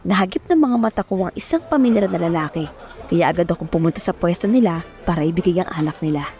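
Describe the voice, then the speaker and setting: A woman's voice: on the low side, expressive, fast talker, a little mellow, a faint crowd. A young woman narrating an audio romance serial in the first person, as a dramatic storyteller. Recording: music bed under the voice.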